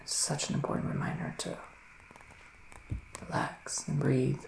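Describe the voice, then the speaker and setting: Casting: a man to play a solemn psychic witch for ASMR, unhurried and soft-spoken, breathy pauses, recorded in a roomy space with faint noise. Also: mid-range voice, little pitch movement. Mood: grave, soothing. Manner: slow, deliberate, breathy pauses with sighs. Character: solemn psychic male witch giving a reading. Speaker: ASMR performer. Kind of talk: ASMR roleplay, soft-spoken and half-whispered.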